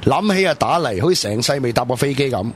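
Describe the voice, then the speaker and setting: A man's voice: mid-range voice, animated, quick pace, slight background noise, lightly acted. A man with a Hong Kong Cantonese accent, playing a blunt, mocking young man in a radio drama. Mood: scornful. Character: sarcastic young man teasing friends.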